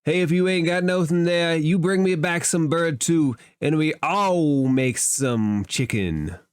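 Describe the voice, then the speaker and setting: A man's voice: a little high, measured pace, smooth, dry recording, studio quality, voice pushed up, lightly acted. A man voicing a friendly fantasy diner cook in a tabletop game, with a folksy, colloquial way of talking. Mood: eager, chummy.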